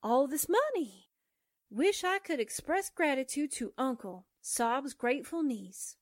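A woman acting as a sentimental young secretary in vintage radio drama style. Her voice is very high and closely recorded with little room sound, naive and gushing in a dreamy way. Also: big pitch swings, a little slow, dry recording, clean studio sound. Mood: gushing, tearful.

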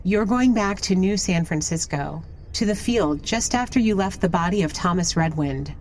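Audio drama role: capable, composed woman guide, slightly low voice, faint ambient noise behind female actor. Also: animated, normal speed, a little mellow, close to an everyday voice.

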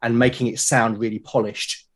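A British man speaks as a guest in a conversational interview. His voice sits at an average pitch for a man. He is reflective and halting, often restarting a phrase as he explains how he works. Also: little pitch movement. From Britain. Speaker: podcast guest, creator of a solo role-playing show.

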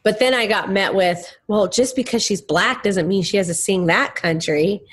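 Young musician woman from texas, candid, emphatic, mid pitch. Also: normal speed. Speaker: guest musician. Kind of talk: conversational interview.

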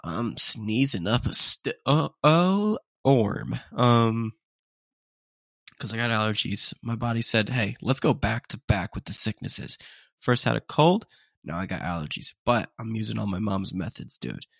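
Young man, podcast host, congested, sniffly, rambling, self-deprecating, low gravelly voice. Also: lively intonation, a little slow, fairly bright tone, dry recording, clean studio sound. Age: young adult. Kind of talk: casual solo podcast chat.

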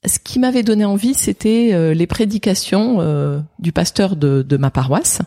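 A woman in her fifties from France, a pastor, telling her story in a reflective, hesitant way with many pauses and fillers in an interview. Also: medium pitch, mellow. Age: 50s. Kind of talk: interview conversation. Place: indoors, office.

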